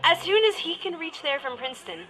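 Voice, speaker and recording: Female, bright high voice, acting as a flighty, flirty announcer in a radio comedy parody. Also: lively intonation, fairly quick, soft background music. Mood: flustered. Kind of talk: parody of 1930s radio drama.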